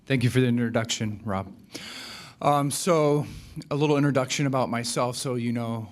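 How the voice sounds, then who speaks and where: A man's voice: medium pitch, normal speed. A man from Hawaii presenting slides at a business seminar, with a polished, mostly scripted delivery and occasional fillers. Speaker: account executive presenting.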